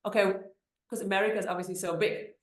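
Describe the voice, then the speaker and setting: A woman's voice: medium pitch, fairly even intonation, quick pace, reverberant. A woman from Germany with a German accent, an immigration attorney answering interview questions in a chatty way with many fillers and restarts.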